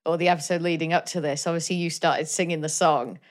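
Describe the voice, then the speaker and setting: A woman's voice: low-pitched, steady tone, fast. A woman hosting a film podcast in casual, chatty conversation. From Wales. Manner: chatty, laughs easily. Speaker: podcast host. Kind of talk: casual chat.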